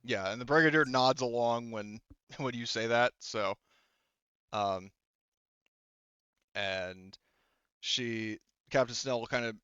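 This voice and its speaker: Smooth-voiced, rambling male game master. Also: medium pitch, slow, long pauses, sharp tone, no echo, highs cut off. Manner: rambling, profane, jokey. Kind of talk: casual tabletop game narration.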